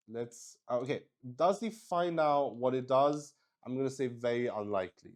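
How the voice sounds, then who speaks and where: A man hosting a solo roleplaying game, narrating casually as he improvises, halting, repeating himself and swearing mildly in an excitable way. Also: lowish voice, clean tone.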